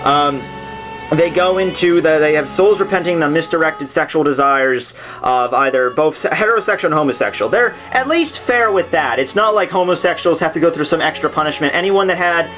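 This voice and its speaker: Higher-voiced man rambling over music. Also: a little fast, a bit bright. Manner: rambling, jokey asides. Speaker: host of a literature summary series. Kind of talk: casual unscripted explainer. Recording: music playing under the voice. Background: background soundtrack music.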